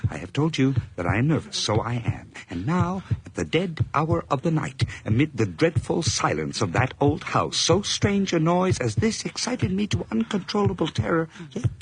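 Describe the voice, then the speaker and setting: An actor narrating as a nervous, obsessive man confessing a murder in a radio drama, his delivery intense and feverish, moving between hushed whispers and sudden outbursts. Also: a little high, sing-song, normal speed, few pauses, breathy edge, heavily acted, poor audio.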